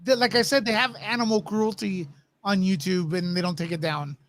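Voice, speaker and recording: A man with a distinctly high voice talks in an easy conversational style. He speaks as a guest in a panel discussion. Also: steady tone, brisk, a bit bright. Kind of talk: casual chat.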